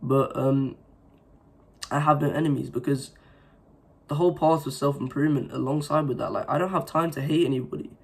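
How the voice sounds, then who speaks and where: A young man talks straight to his viewers with a sore, slightly scratchy throat from being ill. His delivery is earnest and rambling, full of fillers and restarts, at an ordinary pitch. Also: brisk, gravelly, slightly rough. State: sore throat, recovering from illness. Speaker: self-improvement vlogger. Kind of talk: casual motivational talk to camera.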